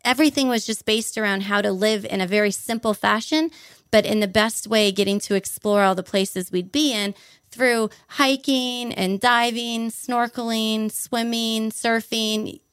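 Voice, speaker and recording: A woman's voice: a little high, fairly even intonation, unhurried, fairly bright tone, clean tone, no room sound, studio quality. A young adult woman from Hawaii, enthusiastically recounting her life story as a guest in an interview. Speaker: podcast guest.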